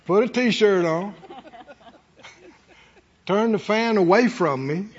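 A man's voice: sits high, takes it slowly. A middle-aged or older man preaches in a folksy, conversational way, telling homely stories and putting rhetorical questions to his congregation. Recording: muffled hall sound with long reverb.

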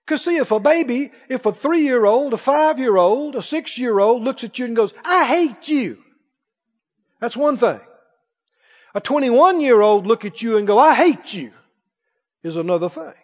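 A man's voice: extremely high pitch, lively intonation, normal speed, a little mellow. A man preaching in an emphatic, questioning way, teaching from the Bible to a congregation. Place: large church hall, before a congregation. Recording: muffled, echoing large hall.

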